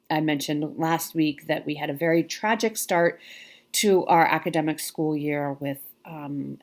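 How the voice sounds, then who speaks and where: Low-voiced congested woman, chatty vlogger. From Pacific Northwest US. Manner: chatty, many ums and restarts. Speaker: cross stitch vlogger. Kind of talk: casual chat to camera.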